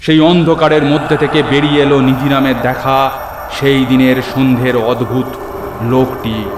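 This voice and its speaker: A man's voice: medium pitch, level tone, normal speed, background noise. A man narrating a scripted horror cartoon story in a dramatic storytelling style. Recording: echoey, clear background music. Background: music.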